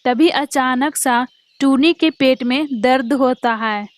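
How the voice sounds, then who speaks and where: Woman, scripted cartoon story narration, high-pitched, unhurried, dry recording. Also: no echo.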